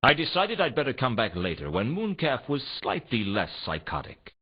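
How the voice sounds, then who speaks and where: Husky, world-weary noir detective. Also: male voice, medium pitch, moderate pace. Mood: dry, suspicious. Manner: deadpan, sardonic. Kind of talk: scripted first-person noir game narration. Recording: dull lo-fi game audio.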